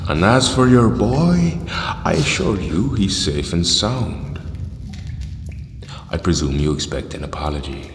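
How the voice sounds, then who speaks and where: A man acting in an audio drama as a calm, zealous cult leader, speaking solemnly and grandly with hushed menace. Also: extremely low pitch, a little hiss or hum, heavily acted. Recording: echoey hall with long reverb.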